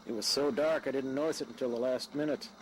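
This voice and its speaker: A man acts a young husband stranded on his travels in an audio drama. He is easygoing, polite and a little wry. His voice sits at a middle pitch over faint noise. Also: fairly even intonation, quick pace, natural voice, low-quality recording. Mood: polite, apologetic.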